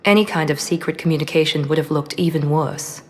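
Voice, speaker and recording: An actress playing a poised, guarded former operative in a video game, speaking in a controlled, understated way with quiet vulnerability. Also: female voice, low voice, fairly quick, long reverb tail, natural voice. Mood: resolute. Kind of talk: dramatic video game acting.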